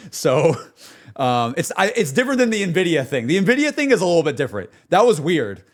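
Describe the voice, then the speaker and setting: A man's voice: a little high, big pitch swings, normal speed. A man livestreaming in a casual, slangy, repetitive way, talking to his chat and reacting off the cuff. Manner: slangy, repetitive, full of "like", "bro" and "dude". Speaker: streamer.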